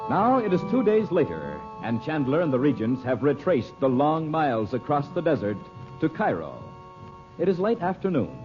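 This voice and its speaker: A man's voice: on the high side, wildly swooping pitch, normal speed. A man speaking as a formal, emphatic announcer and narrator in 1940s radio style. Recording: muffled old radio recording, music behind.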